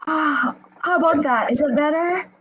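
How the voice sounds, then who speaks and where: A woman chatting casually and excitedly as the host of a live online interview, over a video call. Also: high-pitched, normal speed, muffled recording. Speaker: host interviewing a guest on a livestream.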